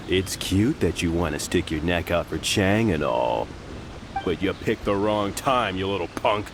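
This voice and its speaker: A cocky henchman from a video game, taunting and bullying. His mid-pitched voice swings widely as he sneers and mocks, with clear ambient noise behind him. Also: lively intonation, a little slow. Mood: threatening. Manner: taunting, mocking, drawling emphasis.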